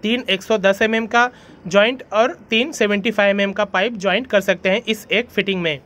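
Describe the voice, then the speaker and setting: A man's voice: high voice, steady tone, normal speed, fairly bright tone. A young man hosting a plumbing channel, explaining a pipe fitting in a friendly voice-over and often calling viewers his friends. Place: voice-over over animation.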